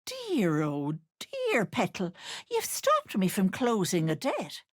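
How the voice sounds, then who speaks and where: Old hag, game villain, sugary and menacing, animated swooping pitch, unhurried, dry close sound. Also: female voice, dry recording, full character voice. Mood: mock sympathy. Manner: sugary endearments with sly, mocking menace. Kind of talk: video game character acting.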